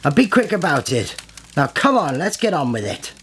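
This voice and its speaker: An actor playing a haughty, villainous occultist lord in a radio play, pompous, sardonic and commanding. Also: male voice, on the high side, sing-song, moderate pace. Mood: impatient, commanding. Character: haughty villainous lord leading an occult ritual, suave and menacing. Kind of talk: old-time radio play acting. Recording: noisy recording with clicks.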